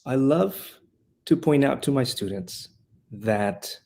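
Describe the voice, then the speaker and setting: A man's voice: mid-range voice, expressive, takes it slowly, vocal fry, darkish tone. Guy on a podcast, earnest, passionate, mid voice, keeps restarting phrases. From Honduras. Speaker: guest, classical school leader and rhetoric teacher. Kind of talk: podcast interview.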